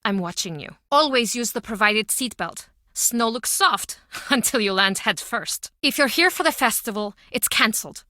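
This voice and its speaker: A guarded bitter pub owner lady for a video game, curt n broody, kinda high crisp bright voice, dry studio sound. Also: animated, moderate pace, hardly any pauses, dry recording. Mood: terse. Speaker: game character.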